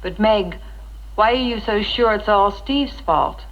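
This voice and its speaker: An actress playing an earnest, devout, supportive friend in a 1960s educational film, speaking in a heartfelt, gently persuasive way. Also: female voice, medium pitch, measured pace, close to an everyday voice. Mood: gently challenging. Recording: dull 1960s film soundtrack with music.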